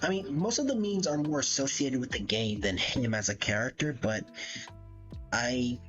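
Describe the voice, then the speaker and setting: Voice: mid-range voice, moderate pace, fairly bright tone. A streamer giving casual livestream commentary, rambling and thinking aloud in an amused, casually sweary way. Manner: amused, laughs often. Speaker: live streamer. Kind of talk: casual livestream commentary, ranking game characters. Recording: dull lo-fi mic with background music. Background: background music.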